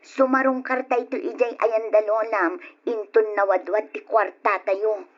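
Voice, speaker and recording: A woman's voice: medium pitch, steady tone, a little slow, close to an everyday voice. A woman acting a discontented, nagging young wife and mother in an Ilocano radio drama, with an Ilocano accent. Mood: patient, weary. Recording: dull, lo-fi old radio recording.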